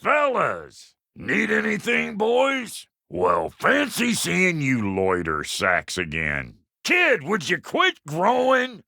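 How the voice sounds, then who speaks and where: Loud scrappy video game dwarf smith, american, gravelly and brash, pitch jumping all over. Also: male voice, wildly swooping pitch, takes it slowly, hardly any pauses, no room sound, studio quality, full character voice. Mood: gruff, grumbling. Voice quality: gravelly, rough. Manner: brash, folksy, profane. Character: foul-mouthed, cocky, gruff dwarf blacksmith. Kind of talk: video game character voice lines.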